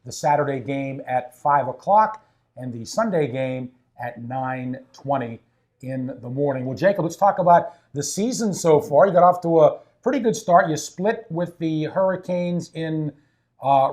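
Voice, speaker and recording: Brief: upbeat male team host, slightly high voice, casual office interview. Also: normal speed, a little mellow. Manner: upbeat, chatty, fillers. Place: coach's office at an ice rink.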